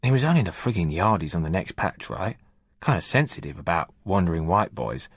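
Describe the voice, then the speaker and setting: A man's voice: deep voice, sing-song, a little fast, breathy edge, no room sound, clean studio sound, heavily acted. A man voicing a cocky, streetwise army corporal, telling a story in a brash, swaggering way. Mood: boisterous.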